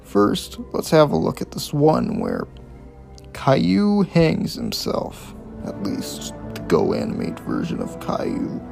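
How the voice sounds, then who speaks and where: A man's voice: sits low, huge pitch swings, slow pace, gravelly, over music. A man hosting a casual video essay on horror clips, speaking in an informal, opinionated way with plenty of swearing. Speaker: host of a video series reviewing analog horror.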